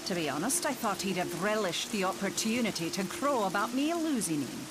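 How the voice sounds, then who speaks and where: A woman's voice: mid-range voice, animated, brisk, noisy background, lightly acted. A woman playing a middle-aged doctor and ex-wife in a motion comic, sardonic and wry. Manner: sarcastic, wry. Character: sardonic, bitter Scottish doctor, mother and ex-wife.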